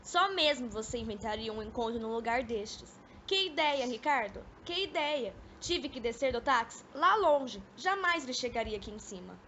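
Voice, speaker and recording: Radio drama: scornful, elegant young Brazilian woman, acted by a woman, high-pitched, exasperated, noisy amateur recording. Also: lively intonation, normal speed, few pauses, sharp tone, highs cut off. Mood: annoyed. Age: young adult. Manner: mocking, exasperated. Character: elegant, scornful young woman mocking her former lover.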